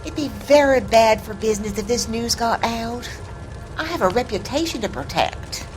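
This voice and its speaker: A woman's voice: on the high side, lively intonation, moderate pace, soft background music, lightly acted. An actress playing a defensive landlady who answers a detective evasively and indignantly. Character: defensive landlady protecting her reputation.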